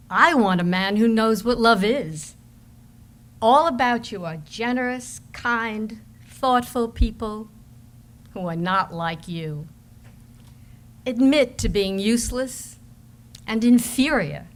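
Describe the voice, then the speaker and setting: A woman playing a cold, dismissive woman jilting her lover, reading a letter aloud with clipped phrases and pointed pauses. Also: medium pitch.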